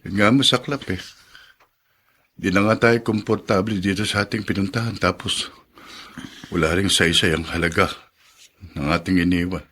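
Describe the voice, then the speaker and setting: A man's voice: low voice, steady tone, moderate pace, pauses often, bright, forceful tone, a little hiss or hum. A man playing a fatalistic, self-pitying husband in a radio drama, complaining melodramatically in a Filipino accent. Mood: bitter, glum. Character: bitter, fatalistic, self-pitying husband fallen on hard times.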